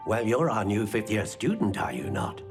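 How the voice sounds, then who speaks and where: An actor playing a fussy, kindly, absent-minded male wandmaker in a video game, muttering and halting as he goes. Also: on the low side, sing-song. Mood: welcoming. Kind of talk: video game character acting. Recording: music behind the voice.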